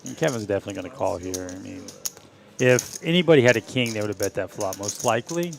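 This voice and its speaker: A man's voice: lowish voice, expressive, quick pace, creaky. Middle-aged male poker commentator, chatty and laughing, casino crowd behind.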